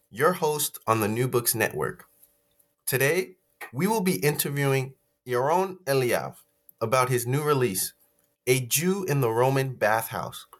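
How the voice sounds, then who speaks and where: A man's voice: mid-range voice, fairly bright tone. A man hosting a podcast, reading prepared questions to his guest in a formal way. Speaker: podcast host. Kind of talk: interview hosting.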